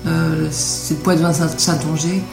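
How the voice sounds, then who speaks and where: A woman from Noirmoutier, a native patois speaker, answering a radio interviewer in a halting way with many hesitations. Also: low voice, flat delivery. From Noirmoutier island, France. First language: patois of L'Épine. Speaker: author being interviewed. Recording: roomy radio interview with music behind.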